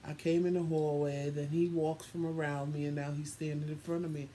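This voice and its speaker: Dry, sassy man with a mellow voice. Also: on the high side, level tone, a little fast. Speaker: co-host telling a couple's story. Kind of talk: casual storytelling with acted-out quotes. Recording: noisy room ambience.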